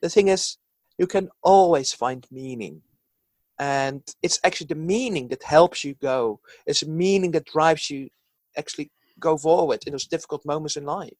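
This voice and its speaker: A man's voice: a little high, moderate pace, darkish tone, dry recording. A middle-aged man from the Netherlands with a Dutch accent, speaking earnestly and thoughtfully as a psychologist guest in an interview. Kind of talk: reflective interview talk.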